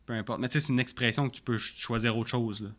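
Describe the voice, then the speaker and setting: A man's voice: medium pitch, level tone, quick pace, a bit bright. A man with a Quebec French accent co-hosting a book podcast, chatting loosely and colloquially. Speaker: podcast co-host. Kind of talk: casual chat about books.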